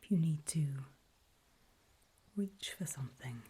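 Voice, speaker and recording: A woman narrating an ASMR roleplay in a breathy whisper, guiding the listener in hushed, deliberate short phrases with pauses between them. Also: low-pitched, animated, a little hiss or hum.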